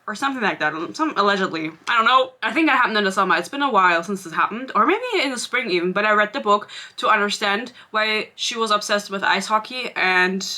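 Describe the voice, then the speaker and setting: A woman's voice: mid-range voice, pushed and bright. A young woman in her twenties whose first language is German, chatting casually as a book vlogger, rambling and sarcastic, laughing often. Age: 20s. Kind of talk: casual chat.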